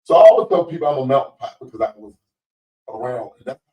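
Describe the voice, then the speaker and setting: Man in his forties, deep voice, rambling shout-outs, roomy echo, distant mic. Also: animated, fast, muffled recording. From Baltimore. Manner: laid-back, rambling storyteller with shout-outs. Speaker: podcast host and DJ. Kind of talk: casual storytelling chat.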